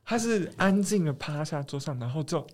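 A podcast host with a Taiwanese Mandarin accent chatting casually in an excitable, expressive way. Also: mid-range voice, moderate pace.

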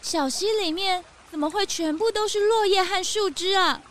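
Woman as a high-voiced, gentle deer lady. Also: moderate pace, a little hiss or hum. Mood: dismayed. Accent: Taiwanese. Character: gentle, timid female sika deer wife. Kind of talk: children's audio drama.

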